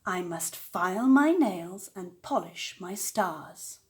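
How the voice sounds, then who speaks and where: A woman voicing a bold, eager little witch girl in an expressive, earnest storybook read-aloud. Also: fairly low pitch. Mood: cheerful, determined. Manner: deliberate, expressive storybook delivery.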